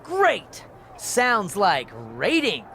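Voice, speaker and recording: A man's voice: high-pitched, wildly swooping pitch, extremely slow, creaky, fairly bright tone, slight background noise, exaggerated voice. A man playing an eager, chipper wrestling show producer in a video game, emphatic and keen to please. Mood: excited. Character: eager, chirpy male TV wrestling producer, upbeat company man.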